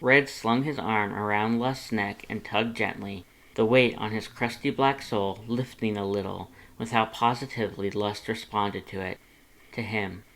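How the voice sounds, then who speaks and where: An audiobook narrator with a medium-pitched voice reads fan fiction. The delivery is expressive, scripted storytelling. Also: a little slow, poor audio. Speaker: fanfiction audiobook narrator and channel host.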